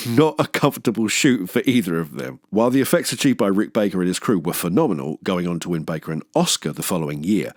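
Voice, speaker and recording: A man's voice: deep voice, lively intonation, quick pace, a little mellow, no room sound, clean studio sound. A man hosting a film review show, reading scripted narration with jokey, theatrical asides.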